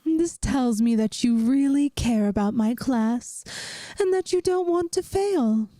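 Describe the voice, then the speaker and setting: A woman plays a kindly vampire schoolteacher with a dry wit, in an intimate ASMR roleplay. Her female voice sits a little high yet stays mellow. She speaks slowly and reassuringly, straight to the listener. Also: animated, no room sound. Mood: tender, encouraging. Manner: gentle, soothing, speaks directly to the listener. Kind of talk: ASMR audio roleplay, scripted.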